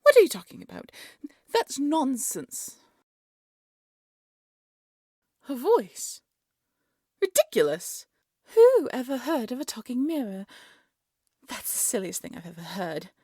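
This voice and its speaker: A woman playing a haughty, cruel evil queen in a fairy-tale audio drama, speaking in an imperious, condescending way with a breathy voice. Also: fairly high pitch, sing-song, a little slow, a little mellow, dry recording, studio quality. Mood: scornful, indignant. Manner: imperious, scolding, condescending, theatrical.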